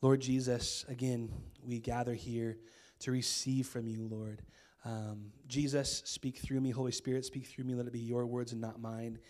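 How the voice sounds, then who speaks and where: A man in his thirties with an American accent preaching a sermon on a church stage into a handheld mic, his voice hoarse from a cold, casual and conversational with many fillers. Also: medium pitch, flat delivery, normal speed, a little mellow. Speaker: pastor. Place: church stage with string lights.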